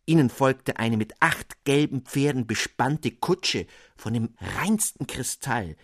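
A male narrator reads a literary tale with expressive, carefully shaped phrasing. His pitch is medium for a man. The studio sound is dry and close. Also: takes it slowly, no echo. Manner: precise, storytelling phrasing.

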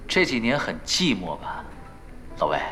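An actor in a Chinese audio drama playing a laid-back veteran pro gamer, teasing and persuasive in an unhurried way. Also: male voice, lowish voice, huge pitch swings, pushed and bright, exaggerated voice. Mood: probing. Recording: background music under the dialogue.

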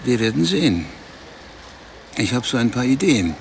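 An actor playing a laconic, teasing Romanian street porter in a radio drama, mixing in Italian words, over faint street noise. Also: male voice, mid-range voice, sing-song, normal speed, vocal fry. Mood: calm teasing. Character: Romanian man, street porter, calm, sly.

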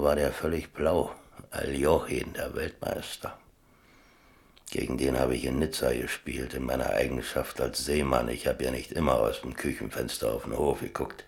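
An actor playing an old seaman in a radio play, reminiscing in a wry, colloquial, musing way with a gravelly voice. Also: rumbling low. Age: older. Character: old seaman reminiscing about chess, wry, rambling storyteller. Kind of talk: radio play monologue, colloquial first-person storytelling.

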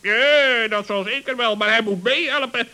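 A man voicing an officious animal companion of a gnome, with a sharp, forceful voice in a children's radio play. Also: extremely high pitch, moderate pace, gravelly, full character voice. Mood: brisk, complaining.

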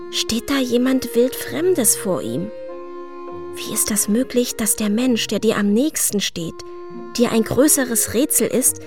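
Radio drama, female actor: pensive and unsentimental, measured delivery, mid-range voice, faint score behind. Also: medium pitch, lightly acted. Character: pensive, sober woman, doubting and unsentimental.

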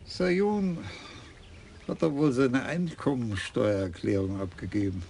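An actor playing a wry, stubborn police commissioner in a radio crime play. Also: male voice, medium pitch, slow pace, gravelly, a little mellow, slight background noise. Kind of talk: radio drama acting.

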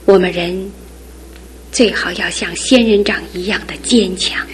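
A woman playing a gentle, wise middle-aged aunt in a radio drama, calm and unhurried. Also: medium pitch, a little hiss or hum, close to an everyday voice. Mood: thoughtful. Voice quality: husky.

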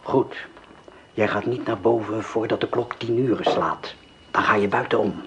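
Cold commanding gang leader. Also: male voice, on the low side, unhurried, background noise. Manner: calm, precise, giving orders. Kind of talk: radio drama acting.

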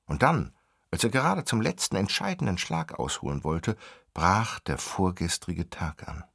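A wry storyteller narrates a radio drama. His voice is low and gravelly, moving in animated swings of pitch. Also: normal speed, dry recording, clean studio sound, heavily acted. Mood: brisk storytelling. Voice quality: gravelly, raspy.

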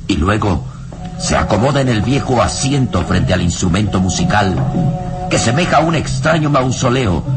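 Radio drama narrator, male, low-pitched, grave and foreboding, deliberately phrased, with music behind. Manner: solemn, ominous, deliberate phrasing.

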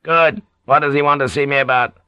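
A man's voice: medium pitch, steady tone, brisk, clean tone, dry recording, highs cut off, pitched above the performer's own voice, lightly acted. Man doing a brusque, commanding samurai lord. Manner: curt, commanding, probing. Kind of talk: audiobook character dialogue.

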